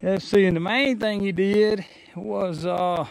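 A man's voice: high-pitched, fairly even intonation, takes it slowly, vocal fry, no room sound, low-quality recording. A man in his forties with a Southern US accent, casually showing off a rebuilt trailer outdoors on a farm, rambling and restarting his sentences as he goes, recorded on a handheld action camera. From Alabama. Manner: folksy, rambling, stops and restarts mid-sentence. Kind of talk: casual walkaround demonstration. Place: outdoors on a rural farm lawn. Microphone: action camera held or worn, sometimes set down.

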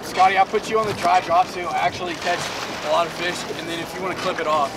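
A man's voice: high-pitched, fairly even intonation, fast talker, very noisy. A man talking casually as a fishing guide, laid-back and giving instructions. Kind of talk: casual chat while fishing. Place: in a pickup truck, then outdoors on a river. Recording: distant mic with loud music behind.

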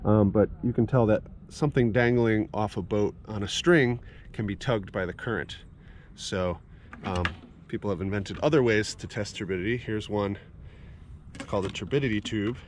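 A man in his thirties in a kayak on a lake, casually explaining a water test with fillers and thinking aloud. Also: fairly low pitch. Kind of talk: casual outdoor demonstration. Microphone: action camera at arm's length. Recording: noisy outdoor camera audio.

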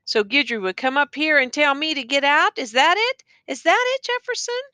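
A woman narrator acts out a male schoolteacher in an audiobook novel. He is earnest, insistent and exasperated, speaking in educated phrases. The voice is bright and forward, recorded very dry. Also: fairly high pitch, very animated, a little slow, no echo. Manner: pleading, insistent, educated diction.

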